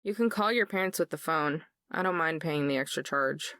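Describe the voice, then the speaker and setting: Low-voiced, guarded young woman. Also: moderate pace, a bit bright, no room sound, studio quality. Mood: resigned, firm. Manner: firm, curt, emotionally strained. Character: guarded, guilt-ridden young woman pushing her lover away. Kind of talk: visual novel voice acting.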